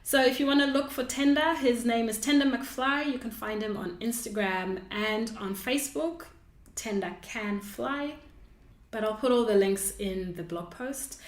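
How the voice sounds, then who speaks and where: A woman hosting a podcast, talking casually and conversationally to her listeners. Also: a little high. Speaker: podcast host. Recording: echoey room.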